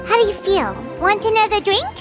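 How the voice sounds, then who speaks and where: A squeaky little fairy companion. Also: female voice, lively intonation, a little fast. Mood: cheerful. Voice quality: very high, squeaky. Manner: speaks of herself in third person, chirpy. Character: tiny floating fairy companion girl, hungry and excitable. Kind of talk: game voice acting. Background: game music.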